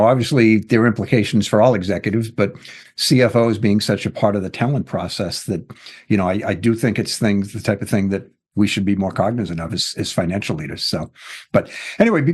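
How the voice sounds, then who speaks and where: A man's voice: on the low side, brisk, darkish tone, husky. Chatty, self-deprecating male podcast host. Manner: chatty, self-deprecating, many "you know" fillers. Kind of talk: casual interview chat.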